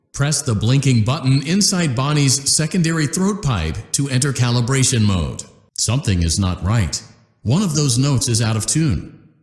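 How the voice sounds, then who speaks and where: A man's voice: mid-range voice, reverberant, lightly acted. A man voicing an upbeat corporate repair-training instructor in a game, giving polite, cheerful step-by-step instructions.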